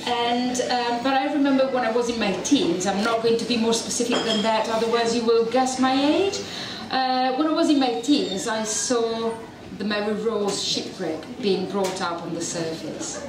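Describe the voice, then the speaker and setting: A woman's voice: fairly high pitch, normal speed, distant mic, background noise. A middle-aged woman from Italy with a strong Italian accent, giving a chatty, self-deprecating public lecture on a handheld mic. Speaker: university researcher giving a public talk. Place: dark event venue with audience. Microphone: handheld mic, filmed from a distance. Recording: echoey venue recorded from afar, crowd present.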